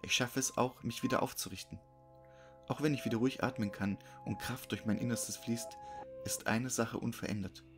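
A man's voice: mid-range voice, steady tone, moderate pace. A man narrating a scripted horror story. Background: music.

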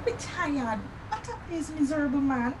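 A woman's voice: sits high, expressive, moderate pace. A woman playing a sulky, complaining young granddaughter with a Jamaican accent in a radio drama, whiny and questioning. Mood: sulky, irritated. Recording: distant voice, clear traffic noise.

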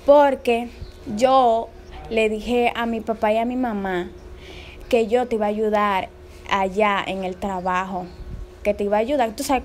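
A woman's voice: medium pitch, darkish tone, a little hiss or hum. A young woman on a casual phone call, sounding nervous and pleading. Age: young adult. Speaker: prank caller, vlogger. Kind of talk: casual phone chat, feigning nervous worry. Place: indoors in a bare-walled room.

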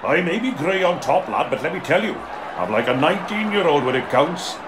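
A man's voice: on the high side, big pitch swings, fairly quick. An actor playing a combative, indignant old sportsman in a comic audio drama. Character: feisty grey-haired old male player with wooden leg. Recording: noisy outdoor match ambience.

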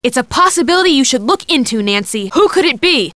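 A wry, sensible young female sidekick from a detective video game. She has a bright, forceful, high voice with lively inflection. Her delivery is practical and matter-of-fact. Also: quick pace, dry recording, studio quality. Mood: wry, skeptical. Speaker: game character giving hints.

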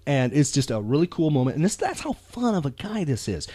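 A man's voice: fairly high pitch, very animated, a little fast, warm tone, slightly rough, no echo. An American man co-hosting a podcast in a casual, emphatic, earnest and rambling way. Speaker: podcast co-host. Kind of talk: casual chat.

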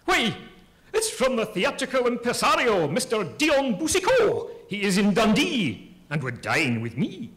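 A man's voice: high-pitched, wildly swooping pitch, a little slow, fairly bright tone, heavily acted. A man playing a grandiose, self-important poet who declaims in rhyme in a theatrical comic reading. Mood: grandly excited. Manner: pompous, declamatory, rhyming.

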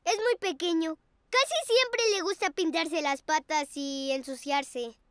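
Una mujer que dobla a un pequeño panda varón, alegre, amistoso e infantil, en dibujos animados. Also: female voice, very high-pitched, a little slow, heavy background noise. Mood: explaining. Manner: childlike, upbeat. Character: cheerful, friendly young boy panda. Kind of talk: children's cartoon dub acting. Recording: music behind the voice.

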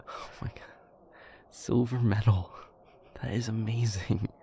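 A man playing an earnest, warm-hearted young friend in an intimate audio roleplay, casual, sweary and a bit hesitant. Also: on the low side, creaky, slight background noise. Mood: thrilled, proud.

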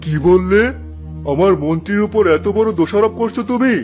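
A man performs a fairy-tale king in a cartoon. His voice is high for a man, formal and commanding, stern yet fair, with light music underneath. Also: fairly quick, a little mellow, lightly acted.

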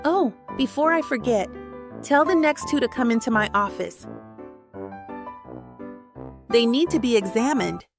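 Woman playing coaxing, high-voiced cartoon pony nurse. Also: expressive, measured pace, pauses often, music in the background. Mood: coaxing, reassuring. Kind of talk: fan comic dub.

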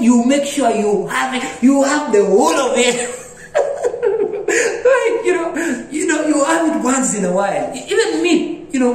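A young man telling funny childhood stories to his phone camera, laughing often and slipping into high voices for the people he quotes. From Africa. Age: young adult. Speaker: vlogger. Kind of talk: casual comic storytelling to camera. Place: small bright indoor room. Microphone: phone held close at arm's length. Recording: echoey small room.

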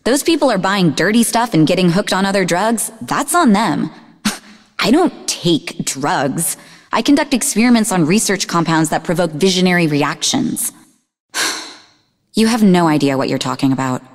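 An actress playing a sarcastic, defensive young woman in a video game, speaking in an expressive, conversational way. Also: lowish voice, moderate pace, in a large hall, lightly acted. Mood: defensive, dismissive. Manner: snarky, conversational, emotionally expressive. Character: sarcastic, defensive young woman, idealistic martial-arts student and researcher. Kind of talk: video game character dialogue.

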